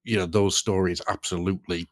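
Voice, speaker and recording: A man's voice: sits low, flat delivery, brisk, dry recording. A man hosting a podcast in a casual, conversational style. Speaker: podcast host. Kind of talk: casual chat.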